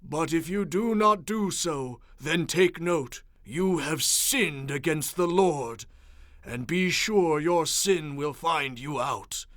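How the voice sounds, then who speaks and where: Stern bible prophet leader, highish voice, solemn n commanding, dry sound, radio theatre style. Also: male voice, no echo. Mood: grave warning. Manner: solemn, forceful, measured oratory. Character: Moses, stern commanding male leader rebuking his people. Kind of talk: dramatized audio Bible acting.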